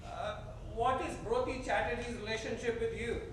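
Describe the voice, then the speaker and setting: A man's voice: extremely high pitch, steady tone, moderate pace, a bit bright. A man playing an impersonal police officer in a staged reading, cold, clipped and interrogating. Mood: cold, official. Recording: echoey theater, distant mic, faint noise.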